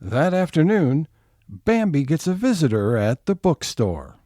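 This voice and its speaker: A man serves as the storyteller of a comic audio serial. His voice is fairly high for a man and swings widely as he delivers scripted narration. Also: very animated, unhurried, dark tone, slight background noise. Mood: announcing.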